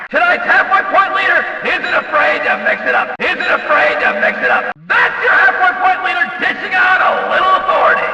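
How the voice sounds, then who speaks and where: A man playing a rowdy, over-excited demolition derby announcer in a racing video game, hollering exclamatory, gleeful commentary. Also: very high-pitched, a little fast, hardly any pauses, heavy reverb, big-room sound, far from the mic, exaggerated voice, low-quality recording. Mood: thrilled, hollering. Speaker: race announcer.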